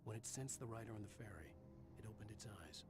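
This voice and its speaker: An actor narrating as a brooding male writer in a video game, somber and measured. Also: lowish voice, expressive, darkish tone, soft background music, close to an everyday voice. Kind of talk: scripted narration.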